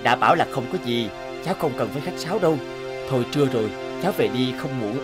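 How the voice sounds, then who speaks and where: A man's voice: medium pitch, lively intonation, quick pace, over loud music, lightly acted. A man voicing a kind, friendly young father who repairs bikes in a cartoon, speaking reassuringly. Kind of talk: cartoon voice acting.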